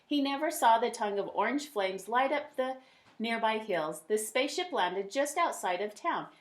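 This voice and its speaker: A woman reading a children's picture book aloud, with dramatic emphasis and drawn-out words. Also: on the high side, expressive. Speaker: read-aloud storyteller.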